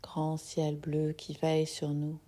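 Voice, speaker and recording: Very slow, mellow woman guiding meditation. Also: deep voice, completely flat delivery. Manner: soothing, soft-spoken with long pauses. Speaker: yoga nidra teacher guiding a relaxation. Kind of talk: guided meditation.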